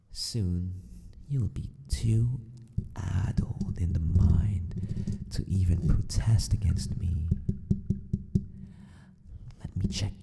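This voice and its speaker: A man playing a sadistic, possessive mad scientist in an ASMR roleplay, gloating and teasing with mock courtesy in a husky near-whisper. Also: low voice, warm tone, heavily acted. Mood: clinical menace.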